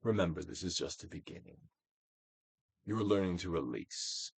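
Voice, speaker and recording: A man giving a dramatic, Shakespearean-style reading of a self-help book, deliberate and theatrical, with drawn-out emphasis. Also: gravelly, husky, no room sound. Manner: theatrical Shakespearean delivery, swinging from hushed to booming. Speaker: audiobook reader.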